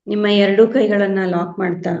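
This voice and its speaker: A woman with a Kannada accent leading a guided meditation, giving calm, unhurried instructions with long pauses. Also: medium pitch. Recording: dull, echoey lo-fi room sound.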